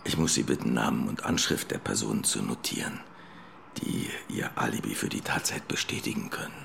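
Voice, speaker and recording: An actor playing a dry, formally polite police detective who talks in a deliberate, insinuating way in a radio drama. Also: male voice, a bass voice, lively intonation, creaky, a bit bright, a little hiss or hum, heavily acted. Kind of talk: naturalistic German radio drama acting.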